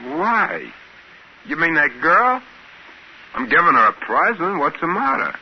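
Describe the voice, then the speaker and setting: An actor playing a folksy, easygoing space trader who narrates in a 1950s radio drama, with an American accent and colloquial, ungrammatical speech. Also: male voice, on the high side, very animated, measured pace, slightly rough, heavily acted. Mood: earnest, explaining. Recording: hissy, muffled 1950s radio recording.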